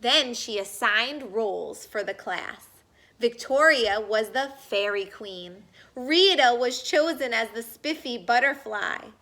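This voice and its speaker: A woman reading a children's storybook aloud, expressive and deliberate. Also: on the high side. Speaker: storybook reader. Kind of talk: children's read-aloud narration.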